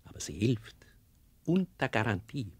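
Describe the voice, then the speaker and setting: An actor playing a gruff but kindly Austrian doctor, dryly ironic and blustering, in a radio play. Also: male voice, fairly low pitch, very animated, measured pace, dry recording, clean studio sound, exaggerated voice. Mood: teasing, kindly.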